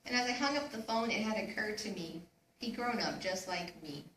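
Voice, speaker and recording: Woman reading as a wistful dad, proud, stage recital, bright, echoey. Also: medium pitch, lively intonation, a little fast, lightly acted. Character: wistful, proud retired father looking back. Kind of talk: spoken song lyrics in readers theater. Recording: echoey room, distant mic, faint noise.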